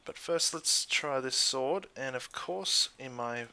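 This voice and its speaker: An Australian man giving casual, chatty let's play commentary in an easygoing way, thinking out loud as he goes. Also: medium pitch, slow pace. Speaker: gaming YouTuber.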